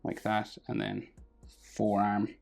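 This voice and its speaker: Gravelly Irish man teaching drawing. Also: fairly low pitch, takes it slowly, faint music behind. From Ireland. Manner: relaxed, thinking aloud while drawing, trailing off quietly. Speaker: drawing tutor. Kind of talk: casual step-by-step drawing lesson.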